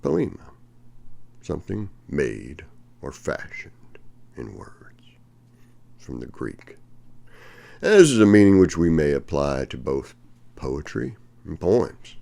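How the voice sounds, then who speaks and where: Very deep, gravelly older man reading slowly. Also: big pitch swings, dark tone. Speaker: reader of a poetry textbook. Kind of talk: reading aloud from a book with brief asides.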